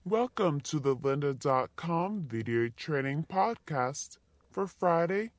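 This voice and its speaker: A woman's scripted podcast introduction, processed with a downward pitch shift that makes it unnaturally deep. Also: expressive, slow pace, no echo. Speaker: podcast announcer.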